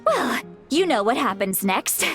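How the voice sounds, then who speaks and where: Grumpy drunk young-sounding shaman granny, anime game, swoopy slow drawl, music behind. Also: on the high side, very animated, a bit bright. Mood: tipsy indignant.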